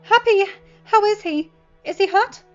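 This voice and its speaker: A woman's voice: very high-pitched, unhurried, darkish tone, faint music behind, voice pushed up. A woman voicing a protective, maternal woman in an audiobook, with an urgent, firm manner. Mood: frantic. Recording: dull lo-fi recording.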